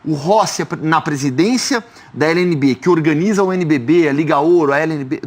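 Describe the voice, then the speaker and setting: A man, hosting a studio football debate and asking measured questions. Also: a little high. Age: 30s. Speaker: sports journalist host.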